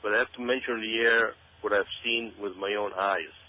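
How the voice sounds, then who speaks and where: Emphatic low-voiced man on tinny phone line. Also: steady tone, brisk. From Israel. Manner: emphatic, repeats phrases for stress. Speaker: author and researcher, radio show guest. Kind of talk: conversational talk-radio commentary, explaining. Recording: tinny phone-like radio audio.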